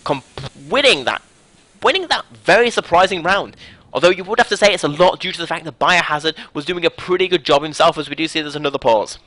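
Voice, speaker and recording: British guy casting esports live, chatty and jokey, kinda high voice, dry headset sound. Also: fast talker, bright, forceful tone, no room sound. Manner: upbeat, rambling, jokes between rounds. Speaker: esports caster. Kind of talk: live game commentary.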